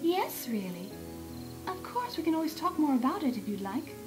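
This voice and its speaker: An elegant, coy cartoon unicorn lady acted by a woman, speaking in a high and animated voice. She is genteel and flirtatious, with music clearly playing behind her. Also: normal speed. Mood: flirtatious, poised. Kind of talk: dramatic fan fiction audio reading.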